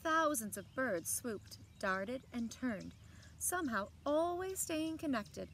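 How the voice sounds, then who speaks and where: Female story time reader, thirties. Slightly high voice, expressive, deliberate read-aloud, recorded outside with birds and background noise. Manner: measured, deliberate phrasing with dramatic emphasis. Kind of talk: expressive children's picture book read-aloud. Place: outdoors on a lawn by trees. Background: outdoor ambience, birds.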